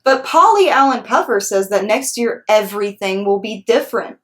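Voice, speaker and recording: A woman voicing a chatty, excitable six-year-old girl telling her storybook tale in an emphatic, blunt, childlike way. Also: mid-range voice, moderate pace, close to an everyday voice. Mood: nervous, chatty. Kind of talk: children's storybook read-aloud.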